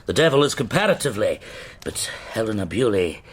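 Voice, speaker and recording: Florid, cunning Victorian eccentric, wildly swooping. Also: male voice, fairly low pitch, big pitch swings, fairly quick, breathy edge. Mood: sly, excited. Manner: florid, declaiming, mocking. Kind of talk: radio drama acting.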